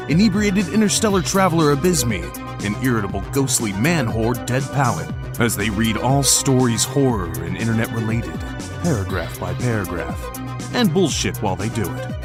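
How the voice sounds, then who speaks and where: A man reading a scripted podcast introduction as an announcer. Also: on the low side. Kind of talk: scripted narration.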